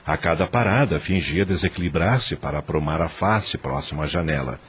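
A man narrating a radio drama in an expressive storytelling manner. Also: low-pitched, normal speed.

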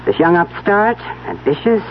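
A woman's voice: on the low side, sing-song, normal speed. An actress playing a scheming, cold-blooded middle-aged mother in a 1970s radio drama, insinuating and theatrical. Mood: calculating. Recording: tinny, noisy old radio broadcast.

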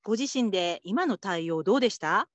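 A woman playing a patient, supportive call-centre supervisor in a radio drama, calm and politely instructive. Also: medium pitch, a little fast, a little hiss or hum, natural voice. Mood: gentle, instructive. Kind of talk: Japanese radio drama acting.

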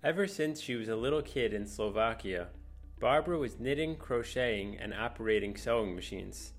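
A man's voice: on the low side, expressive, moderate pace, faint music behind. A man reading scripted narration for a short profile piece.

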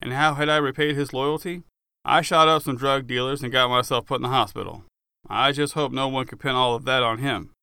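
Casting: male author-narrator for first-person audiobook fiction, forceful and clear, dramatic storyteller. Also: medium pitch, steady tone, fairly quick, no room sound, clean studio sound.

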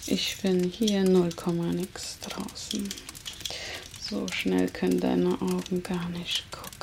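A woman playing a menacing, mocking female vampire who teases a captive in an ASMR roleplay, sardonic and drawn-out, at times slipping into whispers. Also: lowish voice, flat delivery, vocal fry. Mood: mocking, playful menace. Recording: loud noise and crackle over voice.

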